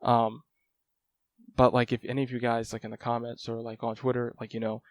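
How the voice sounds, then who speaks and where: A man's voice: medium pitch, completely flat delivery, brisk. A man talking casually to camera about his language study, rambling and filling his talk with "like" and "um". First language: English. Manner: rambling, lots of "like" and "um" fillers. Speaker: language-learning vlogger. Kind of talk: casual talking-head update.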